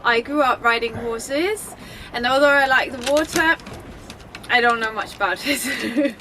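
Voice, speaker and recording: Bright, high-voiced young woman. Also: measured pace, a little hiss or hum. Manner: chatty, light, laughs easily. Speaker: sailing vlog co-host. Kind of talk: casual vlog introduction.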